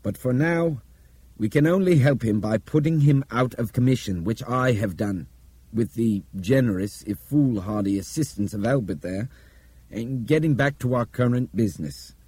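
A man performs a commanding Englishman in an audiobook thriller, urbane but grim and forceful. The carries clipped authority, pitched well above the narrator's own register, in a very dry recording. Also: moderate pace, slightly rough, no echo, lightly acted, low-quality recording. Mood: firm, controlled. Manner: commanding, clipped urgency with polite turns of phrase.

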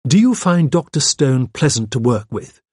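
A man's voice: mid-range voice, big pitch swings, moderate pace, husky, no room sound, clean studio sound, pitched above the performer's own voice. A man voicing a poised, polite woman with a grave, courteous manner, as in an audiobook. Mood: polite, curious. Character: poised, polite woman, gently probing with questions. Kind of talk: audiobook character voice.

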